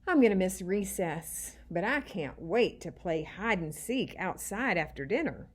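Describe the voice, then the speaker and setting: Woman reading as an earnest, unhurried storybook schoolchild. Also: on the low side, lively intonation, slightly rough. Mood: wistful, eager. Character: earnest second-grade schoolchildren, wistful and eager. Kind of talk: storybook character dialogue.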